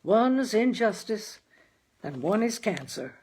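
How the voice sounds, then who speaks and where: A woman voicing a gloomy, plainspoken working-class father in a storybook, with folksy grammar and a deliberate, emphatic delivery. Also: medium pitch, big pitch swings, a little mellow, husky, pitched above the performer's own voice, full character voice. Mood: dejected. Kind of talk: dramatized audiobook character.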